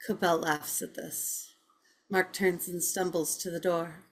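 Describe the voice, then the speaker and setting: A woman's voice: fairly low pitch, little pitch movement, unhurried, slightly rough. A woman reading stage directions as scripted narration for a table read.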